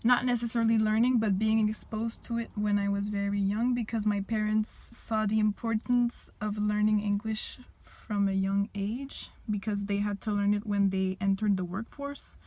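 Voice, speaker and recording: Young woman, Quebecois accent, French native speaker, casual vlog chat, candid, reflective, medium pitch, dry recording. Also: fairly even intonation, warm tone, dry recording. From Quebec, Canada. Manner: candid, reflective, pausing mid-thought to rephrase. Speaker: vlogger. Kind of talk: casual personal chat to viewers.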